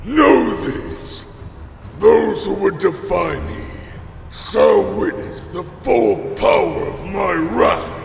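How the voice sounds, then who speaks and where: A tyrannical orc warlord bellows very slowly in an extremely gravelly, strained high roar. His wrathful proclamation rings in a cavernous reverb, in fantasy audio drama style. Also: very animated, some chatter behind, a little hiss or hum, exaggerated voice. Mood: furious, menacing. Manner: booming, slow proclamation. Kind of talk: audio drama character acting.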